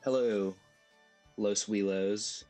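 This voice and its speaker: A man with a hoarse, worn-out voice, rambling goofily through a casual live stream while he plays a game. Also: on the low side, fairly even intonation, extremely slow, warm tone, soft background music. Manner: rambling, goofy, jokey asides. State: hoarse, losing his voice.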